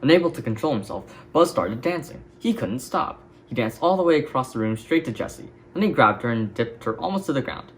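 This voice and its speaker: A man's voice: mid-range voice, expressive, brisk, few pauses. A man reading a children's story as a scripted narration. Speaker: storybook reader.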